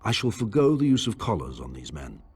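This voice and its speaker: A brooding nobleman consumed by grief and obsession, reading from his private diary in a fantasy game drama. His voice is very low and heavily husky, full of breath. The theatrical, deliberate phrasing swings widely in pitch as his tyrannical madness shows through. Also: wildly swooping pitch, a little mellow, full character voice. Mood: cold, regretful. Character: grieving, obsessive lord descending into tyrannical madness. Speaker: voice actor.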